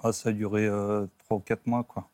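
A middle-aged man, a talk show guest recalling his own life in a halting, plain-spoken, casual way. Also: lowish voice, little pitch movement, a little mellow, no echo. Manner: halting, plain-spoken, often tags phrases with a filler. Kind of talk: casual personal recollection. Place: TV studio.

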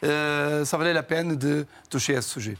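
A man's voice: on the high side, moderate pace, fairly bright tone. A man speaking as an author guest, explaining his work in a hesitant interview manner.

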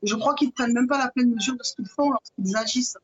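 Lawyer woman over video call, muffled dry sound, steady answers, lots of euh. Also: fairly high pitch, slightly rough, no room sound. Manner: measured, with frequent fillers. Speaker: lawyer and victims' collective spokesperson. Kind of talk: studio debate guest.

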